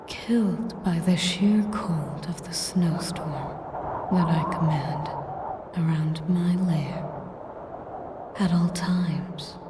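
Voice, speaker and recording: An ancient, sadistic dragoness, acted out by a woman speaks in a lowish, breathy whisper. Her delivery is extremely slow and deliberate, full of haughty, taunting menace. It is a dramatic fantasy audio roleplay with clearly noisy ambience behind the voice. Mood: haughty, menacing. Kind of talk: dramatic scripted roleplay monologue.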